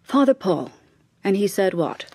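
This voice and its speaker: An actress playing a bitter, distracted, well-to-do middle-aged wife in a naturalistic radio drama, speaking in clipped, repeated phrases. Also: female voice, medium pitch, sing-song, unhurried, a little mellow, slightly rough, no echo. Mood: brittle, questioning.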